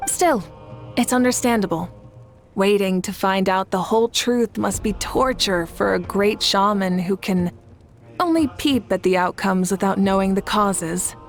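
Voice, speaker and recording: An actress playing a calm, assured, kindly woman leader in an anime game, composed and reassuring. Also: mid-range voice, measured pace, soft background music, natural voice.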